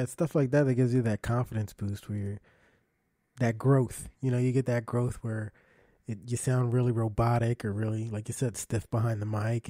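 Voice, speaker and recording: A man hosting a podcast interview, asking relaxed questions and affirming his guests. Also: medium pitch, no echo, studio quality. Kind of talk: casual interview.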